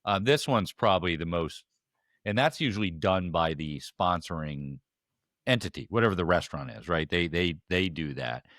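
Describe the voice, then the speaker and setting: A man's voice: low-pitched, lively intonation, normal speed, a bit bright, no room sound, studio quality. A man co-hosting a podcast, with a radio voice, chatting casually and hesitating often with fillers and restarts. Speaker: podcast co-host. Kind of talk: casual chat.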